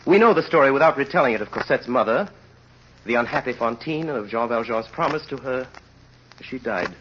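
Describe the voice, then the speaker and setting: An actor playing a grave, impassioned storyteller, narrating a tragedy solemnly and theatrically in an old radio drama. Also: male voice, mid-range voice, expressive, a little fast, slight background noise, natural voice. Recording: muffled old radio recording.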